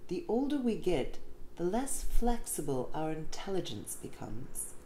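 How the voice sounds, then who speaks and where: A woman reads a written essay aloud in an expressive, emphatic way with deliberate phrasing. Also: on the low side. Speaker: reader of an essay. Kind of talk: scripted narration, essay read aloud. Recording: roomy echo, faint background noise.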